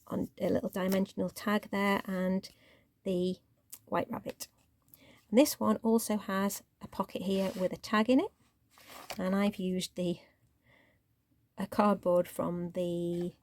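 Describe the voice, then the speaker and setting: Croaky-voiced woman crafting. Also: medium pitch, measured pace. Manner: chatty, relaxed show-and-tell, drops to near-whisper while handling paper. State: croaky voice, clearing throat. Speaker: crafting channel host. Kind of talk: casual craft tutorial.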